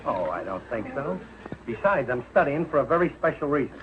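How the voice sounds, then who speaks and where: A man's voice: medium pitch, big pitch swings, fairly quick, close to an everyday voice. A man acting as a middle-aged working-class New father, blustery and easily exasperated, his delivery swinging up and down. He fumbles his grammar in vintage sitcom style, on a dull, slightly noisy old television soundtrack. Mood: earnest. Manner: blustering, ungrammatical, hesitant when cornered.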